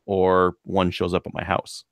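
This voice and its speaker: Low-voiced Canadian man, casual podcast host. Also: completely flat delivery, unhurried, vocal fry, no room sound.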